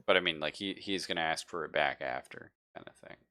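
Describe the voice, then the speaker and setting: A man's voice: a bass voice, fast talker, bright, forceful tone. A man running a tabletop roleplaying game as game master, talking casually and checking in with his players as he sets out scenes.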